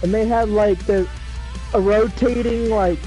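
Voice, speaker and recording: A man chatting casually during a game livestream, rambling with fillers and swearing, his voice going hoarse. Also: sits high, steady tone, a little slow, mellow, soft background music, low-quality recording. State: losing his voice. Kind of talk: casual chat.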